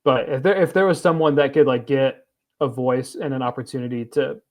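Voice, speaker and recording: A man's voice: mid-range voice, fairly even intonation. A man co-hosting a film podcast, speaking earnestly in a casual chat, often stopping and restarting his sentences. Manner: halting, many restarts, earnest. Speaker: film critic, podcast co-host.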